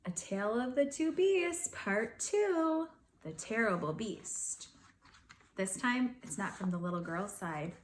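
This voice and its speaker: A woman reading a picture book aloud to schoolchildren in an expressive storytelling manner, with dramatic pauses. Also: mid-range voice, a little hiss or hum. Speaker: school counselor reading to students.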